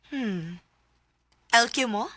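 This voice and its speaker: A woman's voice: on the high side, lively intonation, extremely slow, pushed and bright, dry recording, full character voice. An actress with a Cebuano Filipino accent playing a wise, observant mother advising her grown daughter in a radio drama. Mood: teasing, curious.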